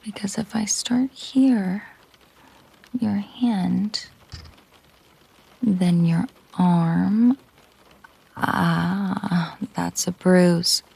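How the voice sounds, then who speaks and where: Slow, husky woman acting a dominant mafia boss girlfriend. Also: on the low side, steady tone, dark tone, heavily acted, low-quality recording. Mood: commanding, intimate. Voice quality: breathy, husky. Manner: slow, teasing, commanding, drifting into breathy murmurs. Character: possessive, dominant female mafia boss girlfriend, coolly menacing. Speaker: audio roleplay performer. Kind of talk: intimate ASMR-style audio roleplay.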